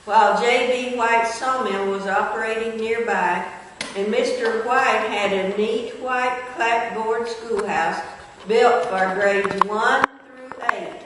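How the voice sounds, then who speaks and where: A woman's voice: mid-range voice, a bit bright. Woman reader, written account read out, far from mic, faint background noise. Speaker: reader of a local history. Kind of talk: reading aloud a written account. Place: large echoing indoor room, recorded from a distance. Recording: very echoey hall, distant mic, faint noise.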